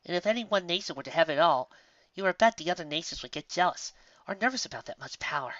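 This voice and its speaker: A woman's voice: lowish voice, lively intonation, fast talker, fairly bright tone, no echo, highs cut off, pitched above the performer's own voice. A woman voicing a thoughtful, studious young female unicorn in a storybook reading, earnest and explanatory. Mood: earnest, pensive.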